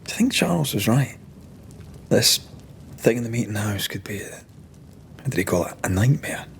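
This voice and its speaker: An actor playing a tender-hearted Scottish ghost-hunter in a video game, earnest and expressive. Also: male voice, mid-range voice, moderate pace, vocal fry, slight background noise. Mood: uneasy. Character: tender-hearted 17th-century male ghost-hunter, devoted lover. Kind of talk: dramatic game cutscene acting.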